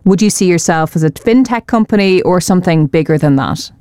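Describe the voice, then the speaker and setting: Low-voiced woman interviewer, crisp and dry. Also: animated, a little fast, dark tone, no echo. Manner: direct, prompting questions. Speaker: interview host. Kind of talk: interview questions.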